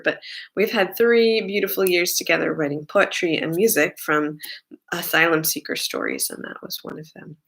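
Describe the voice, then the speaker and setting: Slow, rambling woman chatting between songs. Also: lowish voice, sharp tone. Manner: rambling and chatty, many fillers and side remarks. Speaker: singer-songwriter. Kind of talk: casual chat between songs at a home livestream concert. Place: her home.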